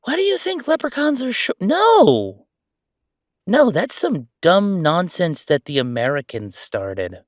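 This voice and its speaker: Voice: mid-range voice, big pitch swings, slow, no room sound, studio quality. An actor playing a chatty, sardonic ghost roommate in a roleplay audio, talking in a casual, teasing, exclamatory way. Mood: indignant, amused. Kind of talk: roleplay audio acting, conversational.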